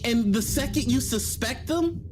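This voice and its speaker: A speaker with a medium-pitched voice chats on a panel about tabletop games. The delivery is conversational, and ideas are explained through examples. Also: lively intonation, a little slow, music in the background. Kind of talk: casual tabletop game discussion.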